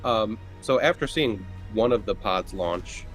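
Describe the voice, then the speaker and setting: A man's voice: lowish voice, measured pace, fairly bright tone. A man talking casually at the table as a player in a roleplaying game. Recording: music behind the voice. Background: background music.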